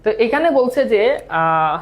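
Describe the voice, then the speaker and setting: A man's voice: high-pitched, very animated, normal speed, fairly bright tone. A male teacher with a Bangladeshi accent giving a conversational exam-prep lesson, explaining and rephrasing as he goes. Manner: explanatory, repeats and glosses phrases.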